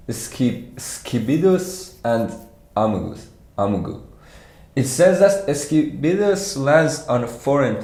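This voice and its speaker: Slow Persian-accented man in an echoey room. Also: mid-range voice, warm tone. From Iran. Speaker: competitive programming instructor. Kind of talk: informal livestreamed problem walkthrough.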